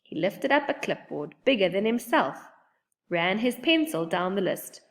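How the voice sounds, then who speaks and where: A woman reading a children's book aloud in an expressive narrating style. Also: medium pitch, unhurried. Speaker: storybook reader.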